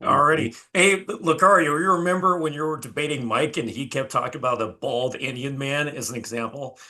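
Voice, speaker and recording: A man's voice: medium pitch, a little fast, bright, forceful tone. A man moderating an online debate in a loose, casual way, swearing now and then.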